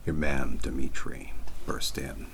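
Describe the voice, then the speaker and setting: A man playing a softly threatening FBI interrogator in an ASMR roleplay, speaking in a breathy, gravelly whisper with deliberate, ominous pauses. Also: very deep voice, little pitch movement, full character voice. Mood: grim, ominous. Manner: slow, deliberate, ominous, pausing between phrases.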